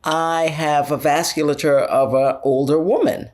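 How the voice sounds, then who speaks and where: A woman's voice: deep voice, steady tone, unhurried, darkish tone. A woman in her sixties co-hosting a podcast, speaking reflectively in casual discussion. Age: 60s. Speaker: podcast co-host.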